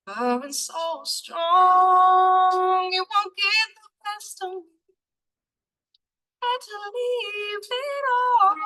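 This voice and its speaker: A woman's voice: extremely high pitch, pushed and bright, low-quality recording. A woman singing a song from a music video, played over an online meeting. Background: musical accompaniment.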